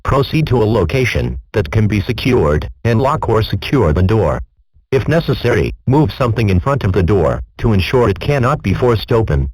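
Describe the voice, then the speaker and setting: Low-voiced man reading emergency alerts. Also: very animated, darkish tone, dry recording, rough recording. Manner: formal, measured, impersonal. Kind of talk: scripted emergency broadcast announcement.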